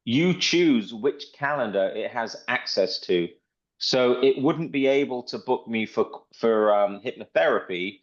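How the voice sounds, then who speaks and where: A man's voice: medium pitch, expressive, measured pace. Dull-sounding man giving an informal demo. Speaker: presenter demonstrating a phone assistant. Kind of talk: informal product demo talk. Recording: dull lo-fi audio.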